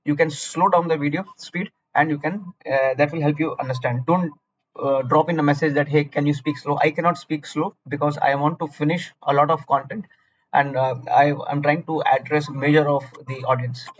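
A man's voice: fairly high pitch, steady tone, moderate pace, muffled recording, slight background noise. A man with an Indian accent tutoring through exam questions, blunt and repetitive, scolding the listener at times. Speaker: cloud certification tutor. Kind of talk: screen-recorded exam walkthrough.

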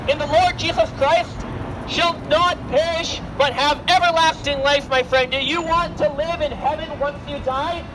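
A young man with a strikingly high voice preaches on a city street. He projects earnestly in a declamatory sermon style, repeatedly addressing the listener. Heavy traffic noise surrounds him. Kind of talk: open-air gospel sermon. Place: outdoors on a busy city sidewalk.

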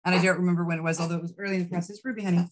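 Low-voiced middle-aged woman, interview guest. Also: fairly even intonation, rapid-fire, crowd noise. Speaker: guest, writer. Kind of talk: casual interview chat. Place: indoor room with bookshelves. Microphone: video call microphone.

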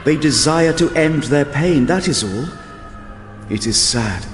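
An actor playing a proud, stern elven lord in an audio drama, speaking in a measured, formal, grave way. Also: male voice, fairly high pitch, lively intonation, soft background music. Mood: solemn pity. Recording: long reverb, ambient noise behind.

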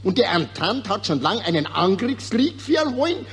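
An actor playing a lecturing, know-it-all patriot who parrots propaganda in a 1940s radio satire. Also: male voice, high voice, sing-song, normal speed, a bit bright, full character voice. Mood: smug, emphatic. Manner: assertive, lecturing. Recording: noisy old 1940s radio recording, hum.

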